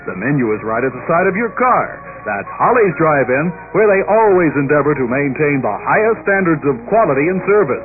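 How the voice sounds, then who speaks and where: A man announces a radio commercial with a lively, bouncing delivery and a slightly raised voice. The sound is thin and tinny over light music. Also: fairly high pitch, fairly quick, hardly any pauses. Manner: smooth, upbeat selling. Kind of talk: scripted radio advertisement. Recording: tinny lo-fi 1960s radio aircheck.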